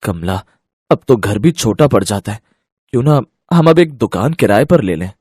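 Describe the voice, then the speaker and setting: Man as a husky, humble working-class husband. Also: lowish voice, expressive, moderate pace, darkish tone, dry recording, clean studio sound. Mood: thoughtful. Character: poor husband and father, a humble porter, agreeable.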